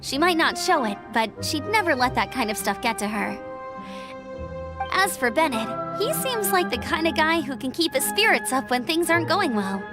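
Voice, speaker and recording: Cheerful, earnest young female scout knight, fantasy game; high-pitched, chirpy, animated, informal. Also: moderate pace. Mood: upbeat, reassuring. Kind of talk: fantasy video game dialogue. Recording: game audio with clear background music.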